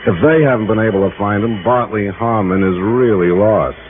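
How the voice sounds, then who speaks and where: A man's voice: lowish voice, close to an everyday voice. A man acting a talkative, eager American insurance man in a 1950s radio drama, slangy and salesman-like. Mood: chatty, confiding. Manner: slangy, hesitant pauses, salesman-like. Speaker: radio actor playing a supporting part. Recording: muffled, noisy old radio broadcast.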